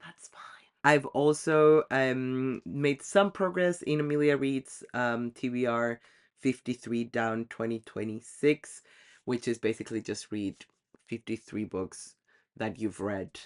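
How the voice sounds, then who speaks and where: A man's voice: mid-range voice, fairly even intonation, a little slow, smooth. A man talking casually to camera about his reading goals, chatty and self-deprecating, with frequent ums. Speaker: book vlogger.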